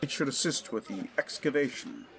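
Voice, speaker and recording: A man's voice: mid-range voice, huge pitch swings, normal speed, vocal fry, fairly bright tone, soft background music, exaggerated voice. Guy acting 1920s english archaeologist dad, middle aged, formal n professorial, really swoopy eager delivery, audio drama. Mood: businesslike, eager.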